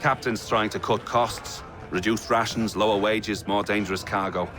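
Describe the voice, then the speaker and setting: An actor playing a friendly, plain-spoken sailor in a video game, talking in a frank, amiable, colloquial way. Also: male voice, on the low side, steady tone, normal speed, lightly acted. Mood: candid, concerned. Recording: music playing behind the voice.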